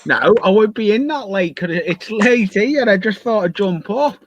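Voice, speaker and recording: A man's voice: high voice, animated, moderate pace, no echo, muffled recording. A man chatting casually as a guest modeler on a livestream video call. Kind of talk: casual chat on a group call. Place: home loft hobby room, on a video call.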